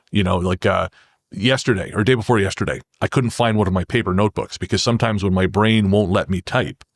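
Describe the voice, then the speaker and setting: A man's voice: fairly low pitch, no echo, studio quality. A man speaking casually and candidly as a podcast guest, a little self-deprecating about himself. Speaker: podcast guest, horror fiction writer. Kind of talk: casual interview talk.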